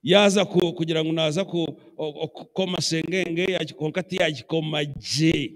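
A middle-aged Ugandan man preaching a sermon as bishop on a handheld mic in a large church, animated and mixing English phrases into his talk. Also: on the high side, normal speed. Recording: long church hall reverb.

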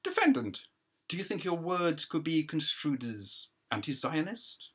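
A man reads the part of a needling counsel at a hearing in a stage play. His questions are pointed and insinuating, in a husky voice with big swings of pitch. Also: huge pitch swings, measured pace, a bit bright, full character voice. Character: sceptical, needling prosecuting counsel at a hearing, male.

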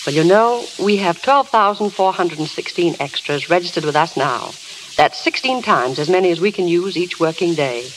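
An actress playing a businesslike, world-weary casting clerk, matter-of-fact and discouraging, in 1930s radio style. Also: female voice, on the low side, expressive, normal speed, lightly acted. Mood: matter-of-fact, sobering. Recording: noisy, crackly old radio recording.

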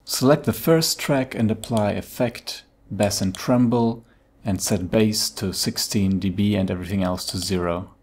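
A man narrating a step-by-step software tutorial in a dry, matter-of-fact way. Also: fairly low pitch, a little slow.

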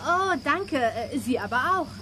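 A high-pitched bold little girl. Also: animated, unhurried. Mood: feigned innocence. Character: bold, quick-thinking young girl bluffing villains. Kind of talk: German children's radio play acting. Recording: clear background noise.

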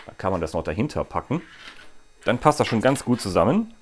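A man's voice: lowish voice, big pitch swings, brisk, noisy background. A man in his forties chatting casually as he fits parts to a bike in a small workshop, with jokey asides. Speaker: bike gear vlogger. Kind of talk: hands-on product demo.

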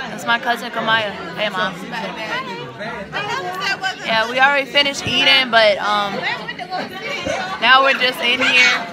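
A young woman vlogging on her phone with a hoarse, nearly lost voice, speaking quietly. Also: a little high, steady tone, slow pace, pauses often, over a noisy crowd. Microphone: phone camera held at arm's length.

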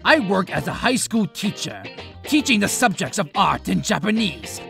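A man voicing a composed, courteous schoolteacher with a formal, measured manner in a game dub. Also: sits high, sing-song, creaky, a bit bright, faint music behind, exaggerated voice. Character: composed, courteous male high school art teacher. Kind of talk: visual novel game dub, narration and dialogue.

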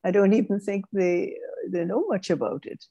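An older woman from Grenada with a British-Caribbean accent, telling her life story in a measured, thoughtful way with frequent restarts, on a video call through earbuds. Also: medium pitch, warm tone, lo-fi sound. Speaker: guest speaker. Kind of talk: reflective interview storytelling. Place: home room, indoors. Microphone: wired earbuds on a video call.

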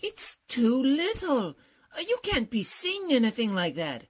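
A woman's voice: on the high side, huge pitch swings, a little slow, slightly rough, exaggerated voice. A woman playing a blustering, flustered older male college professor who stammers and fusses, in old-time radio comedy style. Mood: fussy, indignant. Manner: stammering, fussy, backpedaling.

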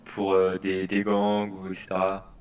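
A man playing an inquisitive reporter in a video game, with a fairly low voice and faint noise around it. He puts his questions politely and carefully, in halting phrases. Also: completely flat delivery. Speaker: interviewer. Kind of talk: improvised role-play interview.

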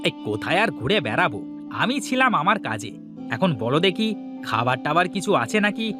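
A man's voice: on the high side, sing-song, fairly quick, over music. A man voicing a lazy, easily led young village man who dodges his mother's nagging. He talks in a sulky, evasive way in a cartoon. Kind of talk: cartoon voice acting.

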